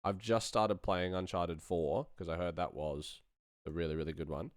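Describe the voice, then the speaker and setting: A man's voice: low-pitched, fairly even intonation, normal speed, no echo, clean studio sound. A man talking informally and enthusiastically on a livestream, thinking aloud as he works through sound design. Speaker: sound designer streaming a live work session. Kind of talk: casual livestream chat and tutorial.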